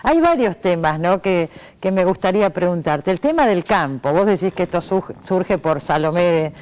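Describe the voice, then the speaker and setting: A man's voice: high voice, animated, normal speed. A man with an Argentine accent working as an interviewer, conversational, interjecting and checking for agreement with short tags.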